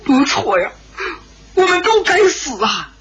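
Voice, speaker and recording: A man voicing a naive, earnest young hero in a dramatised wuxia story, pleading in an anxious, tearful, high-strung way. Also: huge pitch swings, moderate pace, pushed and bright, slightly rough, lo-fi sound, full character voice.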